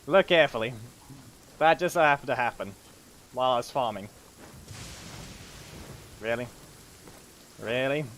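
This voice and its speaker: A man's voice: mid-range voice, lively intonation, measured pace, long pauses, a bit bright. A man giving casual gameplay commentary as a streamer, in a rambling, self-correcting way with muttered asides. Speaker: game streamer. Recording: clearly noisy stream audio with clicks.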